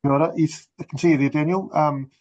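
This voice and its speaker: A man's voice: a little high, fairly even intonation, quick pace, a little mellow. A man chairing a committee meeting, courteous and brief, mixing in Māori greetings. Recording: dull lo-fi audio.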